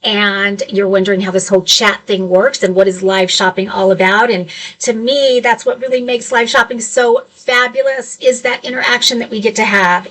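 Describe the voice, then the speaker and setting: A woman's voice: fairly high pitch, fairly bright tone, distant mic, low-quality recording. Chatty upbeat woman in her fifties. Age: 50s. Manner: chatty, upbeat, addresses chat directly. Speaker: live shopping host. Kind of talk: casual live-stream chat to viewers. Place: home office with shelves.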